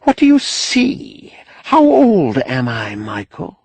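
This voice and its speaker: A man's voice: a little high, huge pitch swings, takes it slowly, no echo, voice pushed up, full character voice. A man voicing a cheerful, brassy middle-aged woman from a novel, with a folksy, affectionate, teasing manner. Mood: coaxing. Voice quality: husky.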